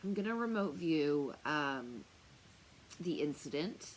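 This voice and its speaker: A woman talking casually to camera as a tarot reader, chatty and rambling with lots of fillers, with a loud, projecting voice. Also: low-pitched, expressive, slow pace, a little hiss or hum, rough recording. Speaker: tarot reader and remote viewer. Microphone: noise-canceling earphones on.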